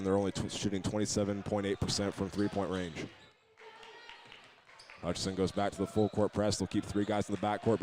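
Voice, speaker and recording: Male American basketball announcer, very even delivery, steady move-by-move live call over clearly audible gym noise. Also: fairly low pitch. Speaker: play-by-play sports announcer. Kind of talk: live basketball radio commentary. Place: basketball game in a gymnasium.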